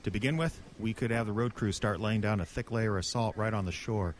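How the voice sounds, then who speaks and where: A man's voice: on the low side, brisk, lightly acted. A man acting a blunt, decisive small-town lawman leading a monster hunt in a horror audio drama, speaking in a dry, clipped way. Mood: grim, decisive.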